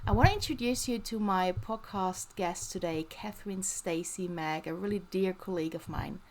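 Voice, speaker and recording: Woman host, mid-range voice, bright upbeat intro, then easy interviewer. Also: mid-range voice, moderate pace, slight background noise. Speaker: podcast host. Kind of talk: podcast hosting and interviewing.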